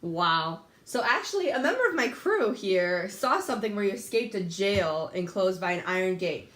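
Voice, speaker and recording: A woman's voice: medium pitch, sing-song, moderate pace, a bit bright. A young woman runs a scripted mock talk show, with a voice that rises and falls a lot. She sounds upbeat and reads her questions off a script. The room around her is echoey.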